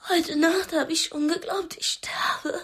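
A woman's voice: very high voice, fairly even intonation, measured pace, a bit bright, dry recording, in a whisper, studio quality, exaggerated voice. An actress playing a young, educated captive woman in a radio drama, anguished and defiant, speaking intimately and often breathily. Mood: pleading in pain. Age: young adult. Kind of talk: radio drama acting, intimate diary monologue and dialogue.

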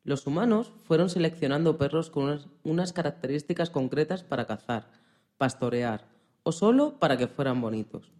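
A man's voice: on the high side, lively intonation, normal speed, a little mellow. A man presenting on a radio program, reading scripted narration.

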